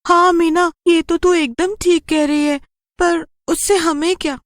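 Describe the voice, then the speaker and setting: A woman's voice: very high-pitched, steady tone, measured pace, no room sound, studio quality, voice pushed up. A woman voicing a frightened, anxious young village girl with an urgent, childlike delivery. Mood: alarmed.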